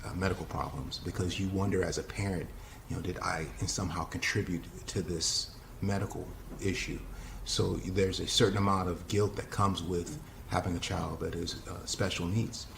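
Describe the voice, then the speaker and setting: A man in his forties, a father speaking haltingly and thoughtfully about his family in a testimonial interview. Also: deep voice, fairly even intonation, gravelly, background noise. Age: 40s. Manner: halting, thoughtful, with many pauses.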